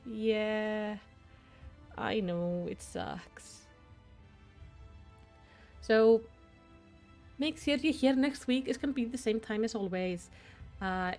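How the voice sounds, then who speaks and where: A woman's voice: mid-range voice, a little mellow. Chatty Icelandic woman streamer. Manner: chatty and friendly, with restarts. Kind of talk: casual gaming stream chat.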